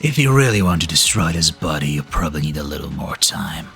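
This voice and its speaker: A very low-voiced, ancient immortal man, played by a male voice actor, weary and cryptic. His breathy, reflective delivery moves widely in pitch, with the wry air of a game drama. Music plays behind him. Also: very animated, quick pace, a bit bright, full character voice. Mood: wry, calm. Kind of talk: fan-dub game drama acting. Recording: background music under the voice.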